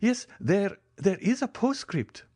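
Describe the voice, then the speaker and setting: A man's voice: sits high, very animated, slow pace, darkish tone, no echo, clean studio sound, pitched above the performer's own voice, heavily acted. A man voicing a timid, eager old Italian ex-major who fawns and exclaims. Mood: eager, fawning. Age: older.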